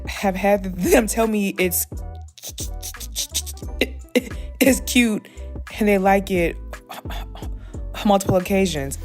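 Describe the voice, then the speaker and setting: Slow-talking woman who stutters. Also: medium pitch, a little mellow. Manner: stutters with repetitions and fillers. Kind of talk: personal recorded testimony.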